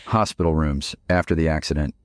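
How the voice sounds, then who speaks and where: A man playing a steely middle-aged father, a ghost in an audio drama, speaking in a measured, admonishing way. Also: very deep voice, steady tone, dry recording, studio quality. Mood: stern, accusing. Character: hallucinated ghost of a father, steely, confrontational but gentle.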